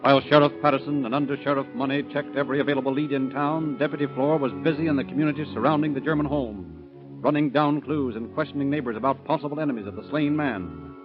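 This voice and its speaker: A man's voice: medium pitch, fast talker. A man narrating a scripted 1930s radio crime story in a formal, dramatic manner. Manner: formal, dramatic newsreel-like delivery. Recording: dull vintage radio recording. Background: loud-ish music.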